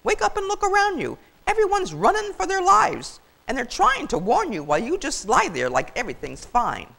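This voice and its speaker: A big bossy storybook goose, played by a woman, squawking her scoldings at high volume. Her pitch leaps about wildly as she honks and snaps with brusque impatience. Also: huge pitch swings, a little slow, creaky, slight background noise, heavily acted.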